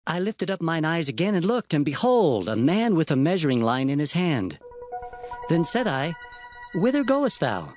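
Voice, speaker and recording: An actor playing an earnest prophet, recounting visions and warnings in a fervent, declamatory dramatized scripture narration. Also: male voice, a little high, wildly swooping pitch, normal speed, a little mellow, soft background music. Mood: wondering.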